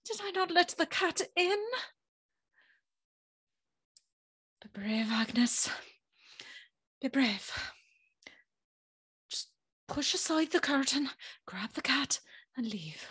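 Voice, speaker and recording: A woman's voice: high voice, slow pace, pauses often, full character voice. A woman playing an anxious, spirited young servant girl who tells her tale expressively in a gothic audio drama. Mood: exasperated, fearful. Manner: expressive, breathy, nervous.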